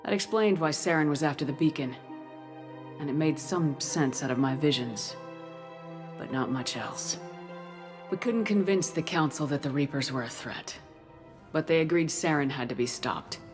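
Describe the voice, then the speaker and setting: A low-pitched female military commander played by a woman, resolute and insistent. She speaks bluntly and firmly in video game style, with clear music running underneath. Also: normal speed, pauses often, close to an everyday voice. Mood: grave, measured. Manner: firm, insistent. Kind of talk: video game acting and narration. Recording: game cinematic music behind voice.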